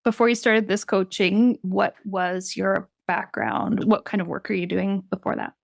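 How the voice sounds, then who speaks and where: Relaxed woman host, gravelly voice. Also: medium pitch, moderate pace, no echo, studio quality. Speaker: podcast host. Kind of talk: casual interview chat.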